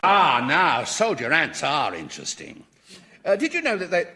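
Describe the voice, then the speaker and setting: An actor playing a cheerfully curious, unflappable professor in a comedy radio drama, chatty and donnish with a British accent. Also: male voice, fairly high pitch, huge pitch swings, normal speed, very noisy. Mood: fascinated. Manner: chatty, polite, donnish, amused.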